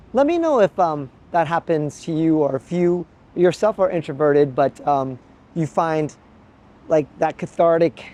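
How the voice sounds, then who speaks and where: Midwest guy talking to his pocket cam outside, kinda high mellow voice, thoughtful, lots of pauses and restarts. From Midwest United States. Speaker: travel vlogger. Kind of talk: reflective vlog monologue to camera. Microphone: handheld pocket gimbal camera.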